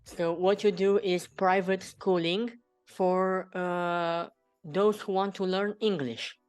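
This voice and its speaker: A man hosting his own channel, interviewing a guest at a deliberate pace with many fillers. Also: high voice, steady tone, clear, resonant tone, soft background music.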